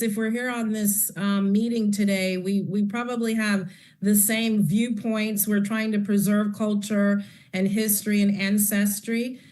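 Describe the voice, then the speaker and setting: A woman's voice: mid-range voice, a little mellow. Earnest woman speaking on a video call. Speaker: conference presenter. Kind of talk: closing remarks in an online session.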